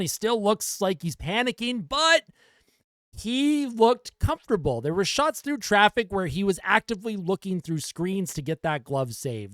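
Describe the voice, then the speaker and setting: A young man hosting a casual post-game hockey fan show, reading out comments with animated enthusiasm while losing his voice. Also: sits high, dry recording, studio quality. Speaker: fan reaction show host.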